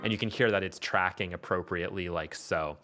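Guy doing a casual music software tutorial, bright punchy voice kinda low, keeps checking you follow. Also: fairly quick. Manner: explanatory, checks in with "okay" and "all right".

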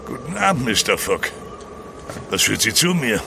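An actor playing a gruff, driven, world-weary ship's captain in a radio drama. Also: male voice, medium pitch, sing-song, moderate pace, noisy background. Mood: guarded.

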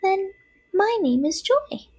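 A woman's voice: very high-pitched, very animated, slow pace, mellow, voice pushed up, heavily acted. A woman voicing tiny newborn girl spiders from a storybook, cheerful and friendly, with small, airy voices. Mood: playful. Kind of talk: storybook character voice.

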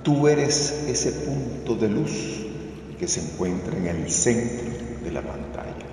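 Male guided-meditation narrator, unhurried and soothing, very echoey sound. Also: mid-range voice, lively intonation, slight background noise. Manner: soothing, deliberate, repeats phrases gently. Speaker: meditation guide, video host. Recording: cavernous long reverb over music. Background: music.

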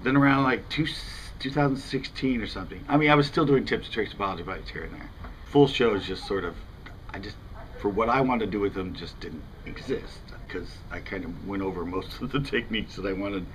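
Low-pitched American man chatting casually. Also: fairly quick, fairly bright tone, a little hiss or hum, low-quality recording. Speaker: fishing channel host. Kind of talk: casual talk to camera. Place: outdoors in front of a house window.

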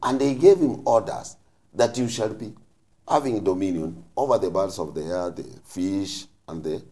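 A man's voice: low voice, normal speed, dark tone. A man, a bishop on a panel, explaining emphatically in short, preacherly phrases. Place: TV studio. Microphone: lapel mic.

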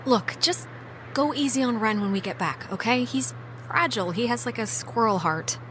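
A woman's voice: on the high side, lively intonation, moderate pace, fairly bright tone, slight background noise, rough recording. A young American woman playing a blunt, friendly teenage girl in a video game, with a dry, casual way of talking. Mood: coaxing. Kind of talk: naturalistic conversational video game acting.

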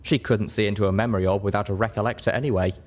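A man's voice: on the low side, fairly even intonation, rapid-fire. A man narrating fiction expressively as an audiobook reader.

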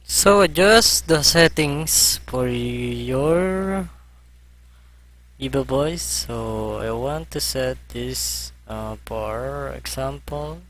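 A man narrating a screen-recorded music software tutorial, halting as he tries settings. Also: mid-range voice. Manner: halting, hesitant commentary as he clicks through settings.

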